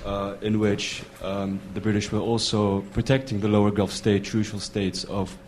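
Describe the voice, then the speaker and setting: A man's voice: lowish voice, flat delivery, normal speed, darkish tone, slight background noise. A man in a lecture audience asking a long question, hesitant with many fillers. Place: lecture hall.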